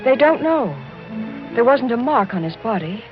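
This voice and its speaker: Sheltered young niece, fearful and urgent, slightly high voice; muffled old radio drama recording. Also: female voice, very animated, moderate pace, music in the background. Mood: fearful, pleading. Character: anxious, pleading young woman, sheltered count's niece.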